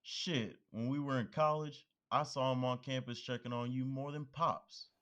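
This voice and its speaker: A man's voice: medium pitch, fairly even intonation, normal speed, fairly bright tone, close to an everyday voice. An actor playing a laid-back young male police officer who teases his best friend in casual talk. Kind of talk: audio drama acting.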